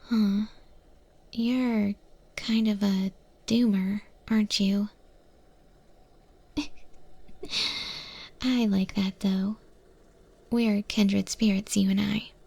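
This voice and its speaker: A woman voicing a wry, easygoing eldritch monster chef in a breathy, whispered ASMR roleplay, speaking with hesitant, thoughtful pauses. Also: mid-range voice, steady tone, darkish tone, exaggerated voice. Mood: wry, amused. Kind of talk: ASMR roleplay, softly spoken to the listener.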